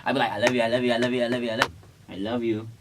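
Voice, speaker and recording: A man's voice: mid-range voice, racing, noisy background. Young American man, playful casual storyteller. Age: young adult. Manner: playful, slangy, animated retelling with acted-out quotes. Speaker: vlog co-host.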